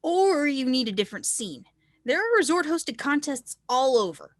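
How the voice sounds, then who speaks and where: Woman playing a high-voiced, playful teenage girl. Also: very animated, normal speed, dry recording, studio quality. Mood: supportive. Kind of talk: screenplay table read.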